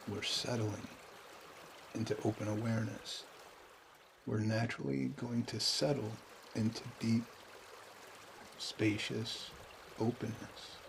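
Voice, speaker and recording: A man guides a meditation with a calm, even voice that barely rises or falls. He speaks very slowly in short, spaced phrases, with a gravelly creak. Soft water trickles faintly behind him. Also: on the low side, noisy background. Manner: calm, unhurried, pausing between short phrases.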